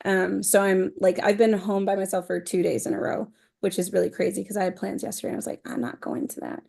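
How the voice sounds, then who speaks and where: Woman podcast guest, normal pitch, chatty and candid, tells stories expressively. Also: steady tone, fast talker, creaky, a little mellow. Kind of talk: casual chat, personal anecdotes.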